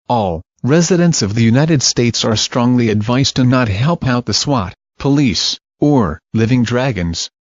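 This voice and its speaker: A man's voice: medium pitch, no room sound. A man reading a scripted emergency broadcast announcement in a formal manner, as an alert announcer. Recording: dull lo-fi audio, loud noise.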